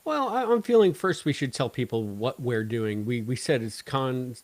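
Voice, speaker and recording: A man co-hosting a casual podcast discussion, talking in a wordy, halting way and repeating phrases as he goes. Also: medium pitch, lively intonation, no echo. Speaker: podcast co-host.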